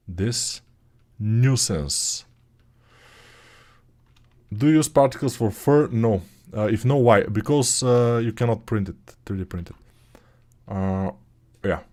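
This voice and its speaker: A man with a Bulgarian accent leads a relaxed live-stream tutorial, answering chat as he works. He talks at an unhurried, slow pace in a mid-range voice, rambling with fillers and restarts. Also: medium pitch. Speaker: 3D art instructor.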